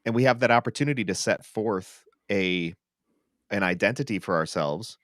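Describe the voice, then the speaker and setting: A man with a fairly low voice hosts a casual talk podcast. He is affirming and reflective, often checking in as he makes a point. The recording is dry and free of room echo. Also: expressive, normal speed, a bit bright. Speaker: podcast host. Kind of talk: casual, thoughtful conversational commentary. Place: online video call for a livestream podcast.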